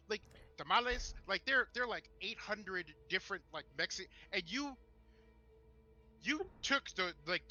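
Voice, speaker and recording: A man's voice: high voice, bright, forceful tone, dull audio. A man co-hosting a casual discussion, making his points emphatically and stammering over repeated words. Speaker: show co-host. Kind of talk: animated casual opinion and discussion.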